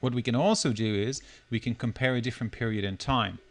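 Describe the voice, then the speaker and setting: A man with a mid-pitched voice narrates a screencast tutorial. He explains each step as he goes, speaking informally as a presenter would when showing software without a tight script. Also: fast.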